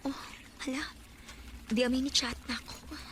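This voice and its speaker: An actress as a young Cebuano woman in a radio drama, high-voiced and modest. She is soft-hearted and easily taken by surprise. Clear background noise runs under her voice. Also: fairly even intonation, normal speed, natural voice. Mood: puzzled. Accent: Filipino, Cebuano Bisaya. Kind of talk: Bisaya radio drama acting.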